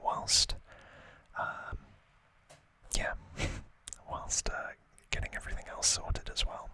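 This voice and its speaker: Male ASMR host, fully whispered delivery with a crisp bright edge; informal, hesitant, modest and rambling. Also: slow. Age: late 20s or older. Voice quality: breathy, unvoiced whisper. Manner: rambling, hesitant, self-deprecating. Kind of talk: whispered ASMR unboxing chat.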